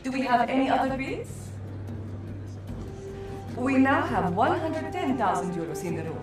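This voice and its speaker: A woman's voice: high-pitched, expressive, normal speed. An auctioneer addressing a big hall in a game. Her announcing is formal yet melodic, echoing strongly from a distance over music. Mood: composed formal. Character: formal, composed female auctioneer. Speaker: game character voice actor. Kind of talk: video game cinematic acting.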